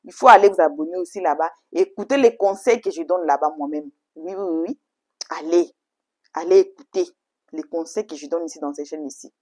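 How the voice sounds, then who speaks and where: A woman's voice: on the low side, fairly quick, mellow. A woman whose first language is English, chatting to viewers as a channel host in an emphatic, repetitive way. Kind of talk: casual, chatty introduction to story videos.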